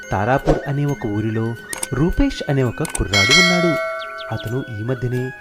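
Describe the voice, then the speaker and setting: A man narrating a scripted children's story. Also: mid-range voice. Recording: music behind the narration.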